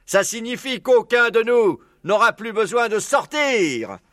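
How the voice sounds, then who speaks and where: A spirited fox leader played by a male narrator speaks in a raised, very animated voice. He is proud and rousing, a storybook orator addressing his band, in a dry recording with music. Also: high voice, a little slow, no room sound, voice pushed up. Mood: earnest, rallying. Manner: exclamatory, rallying speechmaker. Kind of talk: storybook character voice.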